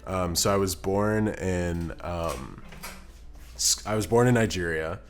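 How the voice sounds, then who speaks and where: A man's voice: sits low, slow, soft background music. A young man from Scotland chatting casually on a live stream, muttering to himself as he works at his computer. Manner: relaxed, muttering to himself while working, reading chat aloud. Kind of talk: casual live-stream chat while editing. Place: home streaming room. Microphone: desk microphone.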